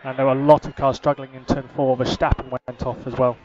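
A man working as a race engineer, giving calm, clipped, repeated instructions over a team radio. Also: medium pitch, fairly quick. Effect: team radio filter. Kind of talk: terse, instructional radio messages. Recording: muffled, noisy motor-racing team radio.

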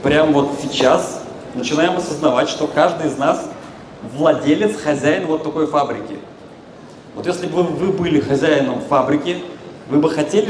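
A man with a slightly high voice lectures informally on health from a stage. He explains in colloquial language and addresses his listeners directly. The sound is echoey, with clear noise from the large exhibition hall around him. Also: a little mellow. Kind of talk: informal public lecture to an audience. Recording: echoey, noisy expo hall through a PA.

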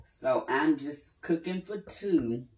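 An older woman, chatting in an unhurried way as she cooks in her home kitchen and explains each step. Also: low voice, lively intonation, noisy background. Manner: unhurried, chatty, talks while working with pauses and fillers. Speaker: home cooking channel host. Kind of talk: casual cooking demonstration. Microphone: phone camera a few feet away on the counter.

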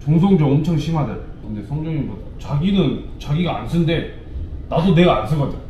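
A man's voice: fairly high pitch, expressive, brisk, dark tone, highs cut off, slight background noise. Young man joking in an echoey room. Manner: joking, playfully defensive. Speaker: baseball player. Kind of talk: casual teasing chat. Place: small indoor lounge room. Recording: roomy indoor echo.

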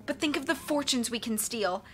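A woman's voice: fairly high pitch, animated, normal speed, fairly bright tone, soft background music. A woman voicing a vain, glamorous, greedy villainess with a purring, self-assured storybook delivery. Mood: scheming.

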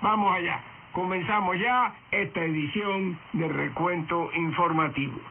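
High-pitched man on tinny shortwave radio. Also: measured pace, background noise. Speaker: radio news program host. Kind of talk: scripted radio news presentation addressed to listeners. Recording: tinny shortwave radio broadcast with music behind. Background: music.

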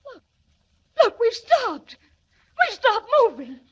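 A frantic young lady in an old radio serial, melodramatic and very high-pitched. The recording is noisy. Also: very animated, slow pace, dull audio, exaggerated voice. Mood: shaken. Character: frightened, excitable young woman. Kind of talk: 1940s radio acting.

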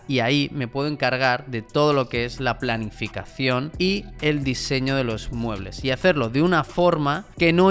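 Chatty man with a boom mic. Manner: relaxed, chatty, gestures a lot while explaining. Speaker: vlogger and designer. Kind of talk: casual explanatory vlog. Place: small room at home, indoors. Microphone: large studio mic on boom arm.

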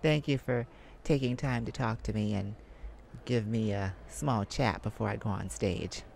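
Woman voicing a slow, bashful male lounge singer. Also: extremely low pitch, gravelly, a little hiss or hum, exaggerated voice. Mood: flattered, flirty. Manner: hesitant, soft, intimate, sighing. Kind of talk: ASMR roleplay.

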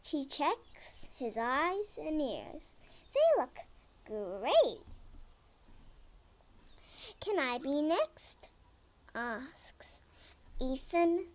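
Young girl reading a picture book aloud, high voice, very slow, careful, lively pitch. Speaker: reader.

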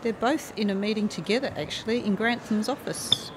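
A woman's voice: mid-range voice, brisk, background noise, lightly acted. An actress playing a prim, polite female office assistant who is mildly reproachful in a radio comedy.

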